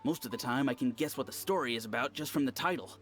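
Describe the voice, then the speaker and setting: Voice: medium pitch, fast talker, a bit bright, soft background music, lightly acted. An actor voicing a seasoned, discerning, slightly jaded fan in an anime game, opinionated and musing.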